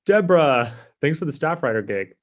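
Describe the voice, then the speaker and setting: A man's voice: a little high, sing-song, brisk. An actor playing a laid-back, privileged young man who talks casually and self-absorbedly in a comedy table read. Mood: casual, oblivious.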